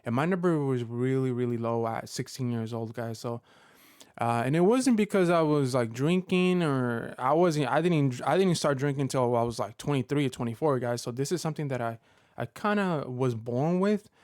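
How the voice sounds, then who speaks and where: A man in his thirties talks straight to his viewers in a casual vlog, stopping and restarting often with lots of fillers. His voice is smooth and resonant, at an ordinary pitch. It is recorded close up and dry, with no room sound. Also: expressive, darkish tone, no echo. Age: 30s. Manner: halting, many fillers and restarts. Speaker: Pokemon content creator. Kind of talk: personal vlog update.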